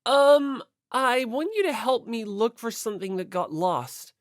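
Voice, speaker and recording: Woman voicing an earnest, higher-pitched schoolboy. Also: lively intonation, unhurried, no room sound, clean studio sound. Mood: eager. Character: earnest, friendly, timid teenage schoolboy. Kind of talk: audiobook character voice.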